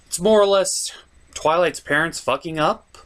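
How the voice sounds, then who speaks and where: A man's voice: fairly high pitch, a little slow, a bit bright. A man hosting a comedic cartoon review, narrating casually in a sarcastic, irreverent way. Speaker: host of a cartoon review show.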